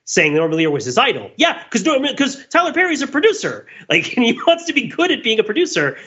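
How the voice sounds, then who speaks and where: A man's voice: extremely high pitch, huge pitch swings, fast talker, sharp tone, dull audio. A man co-hosting a podcast in casual, analytical discussion, making points emphatically and often checking in with "right?". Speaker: podcast co-host.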